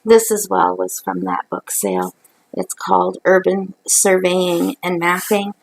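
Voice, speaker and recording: A middle-aged woman from Canada, hoarse from a cold, chatting casually through a craft haul video on her couch, with lots of ums. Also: fairly low pitch, lively intonation, a little slow, low-quality recording. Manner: rambling, chatty, many ums. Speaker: craft vlogger. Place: living room at night, on a couch. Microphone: webcam at close range.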